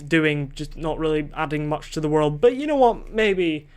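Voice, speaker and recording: A man's voice: on the high side, lively intonation, moderate pace, clear, resonant tone. A young man recording a solo podcast into a desk microphone, rambling and questioning himself, losing his train of thought and swearing casually.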